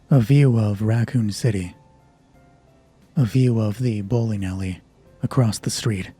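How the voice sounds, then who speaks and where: A man narrating a solo tabletop horror roleplay as game master in measured, short descriptive phrases. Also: mid-range voice, mellow, husky. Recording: music behind the voice. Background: music.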